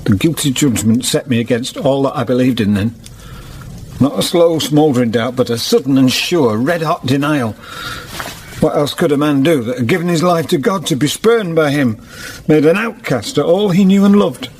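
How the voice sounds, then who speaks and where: A grave, wounded former hermit in middle age played by a male actor. His voice sits fairly high for a man. He broods reflectively in a period radio drama, over faint background noise. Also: moderate pace, darkish tone, lightly acted. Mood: bitter. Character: solemn, wounded older weaver, once a hermit.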